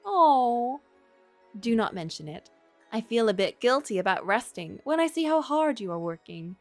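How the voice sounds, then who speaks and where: A woman's voice: on the high side, sing-song, normal speed, a little mellow, soft background music. Woman as a polite, timid young princess. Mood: modest, touched. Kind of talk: visual novel character read aloud.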